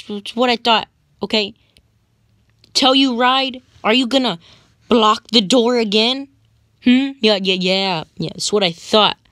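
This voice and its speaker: Woman as slow, haughty, high-voiced squad leader. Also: a bit bright, no echo, clean studio sound. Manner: haughty, halting, repetitive scolding. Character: bossy, scornful male squad leader berating his troopers. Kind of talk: improvised comedic game voice lines.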